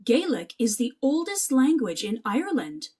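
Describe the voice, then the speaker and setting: A woman's voice: a little high, big pitch swings, normal speed, warm tone, husky. A woman voicing a hospitable, kindly aunt mouse in a storybook, welcoming and explaining things.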